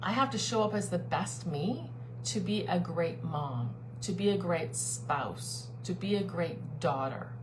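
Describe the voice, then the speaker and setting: A woman's voice: fairly low pitch, unhurried. A woman life coach giving an earnest, persuasive live-streamed talk to her online group, repeating her key phrases. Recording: steady background hum.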